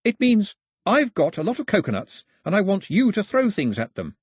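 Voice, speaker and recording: A man's voice: high voice, huge pitch swings, normal speed, breathy edge, no room sound, studio quality, voice pushed up, full character voice. A man voicing a chatty, cheerful young islander in a storybook, eagerly explaining things with emphasis. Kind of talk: storybook character dialogue.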